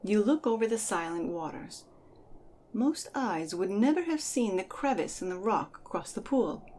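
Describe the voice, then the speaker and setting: A woman's voice: mid-range voice, warm tone, slight background noise. A woman reading dramatic second-person adventure narration as a storyteller. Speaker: podcast host and narrator.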